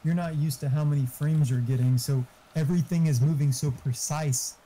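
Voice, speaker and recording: Informal male tech helper, muffled dark voice, faint background noise, chatty and explanatory. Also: fairly high pitch, steady tone, normal speed. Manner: informal, explanatory, mildly profane. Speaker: friend giving tech advice. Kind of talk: casual chat.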